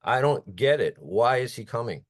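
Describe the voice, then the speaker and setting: A man's voice: mid-range voice, very animated, moderate pace, dry recording, studio quality. A man calling into a video call, earnestly and incredulously asking a question. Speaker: caller.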